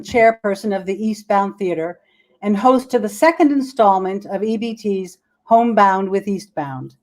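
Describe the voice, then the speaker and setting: A woman with a medium-pitched voice acts as host of a theater program. She delivers a scripted welcome in a formal, measured way.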